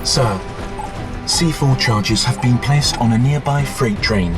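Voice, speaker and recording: An actor playing a polite, composed AI butler in a video game, formal and deferential. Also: male voice, medium pitch, slow, loud music behind the voice, lightly acted. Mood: calm alert. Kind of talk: video game cutscene acting.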